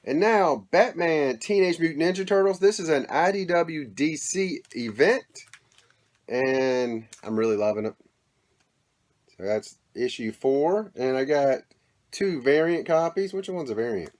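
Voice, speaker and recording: A man with a lingering cold that leaves his voice a little squeaky, chatting casually and enthusiastically as he shows off his weekly comic haul, rambling and correcting himself as he goes. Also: expressive, measured pace, darkish tone. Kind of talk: casual show-and-tell chat.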